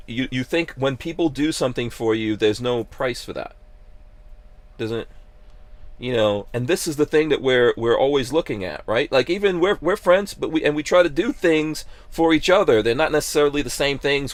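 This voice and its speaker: A man's voice: mid-range voice, moderate pace, dry recording. A man from Guyana hosting a podcast, talking emphatically in casual opinion chat and firing rhetorical questions. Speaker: podcast host.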